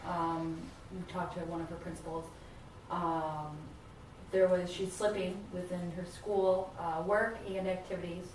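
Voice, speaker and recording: Heavy room echo and faint noise surround a concerned, caring mother, acted by a woman. Her voice is a little low. She speaks earnestly and haltingly in a role-played therapy session. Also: flat delivery, a little mellow, natural voice. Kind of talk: improvised therapy role-play. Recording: echoey room, distant mic, faint noise.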